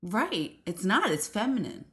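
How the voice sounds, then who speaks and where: A woman's voice: medium pitch, very animated, unhurried, fairly bright tone. A woman guesting on a podcast in casual banter, playful, laughing often and arguing cheekily.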